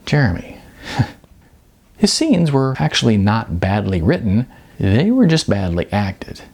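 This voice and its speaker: A man's voice: on the low side, very animated, measured pace, vocal fry, a little mellow. A man reading his own novel aloud in audiobook style, with a wry manner. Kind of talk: audiobook-style narration of fiction.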